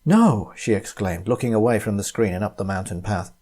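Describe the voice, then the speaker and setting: A man reading a short story aloud as its narrator, in an expressive, dramatic way. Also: fairly low pitch, fast.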